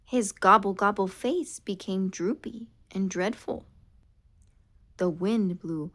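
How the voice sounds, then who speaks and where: A woman reading a children's story aloud in a dramatic, expressive way, drawing out key words. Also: medium pitch, slow. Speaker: storybook reader. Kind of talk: scripted children's storytime narration.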